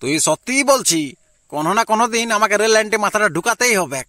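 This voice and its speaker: A man's voice: high voice, expressive, quick pace, pushed and bright. A man with a Purulia Bengali accent voicing a put-upon village husband in an amateur cartoon, complaining and scolding. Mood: bitter, scolding.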